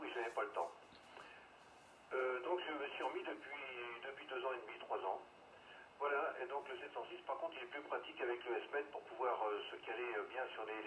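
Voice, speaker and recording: A man talking casually and haltingly as an amateur radio operator over a two-way radio. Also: mid-range voice. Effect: two-way radio transmission. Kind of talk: casual radio group exchange. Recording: noisy band-limited radio with echo.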